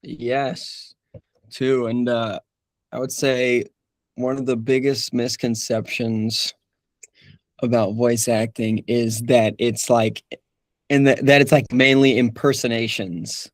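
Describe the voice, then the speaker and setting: A man's voice: medium pitch, slow pace, no echo. A young man, a voice actor, chatting casually in an interview, laughing often and restarting his sentences. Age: young adult. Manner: relaxed, laughs often, many restarts and fillers. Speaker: voice actor guest.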